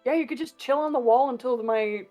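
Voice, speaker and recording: Playful higher-voiced female player. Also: fairly quick, mellow, soft background music. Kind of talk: casual tabletop game play.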